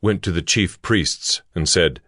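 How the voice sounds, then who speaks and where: Deep male scripture narrator. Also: no room sound. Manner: deliberate, expressive reading.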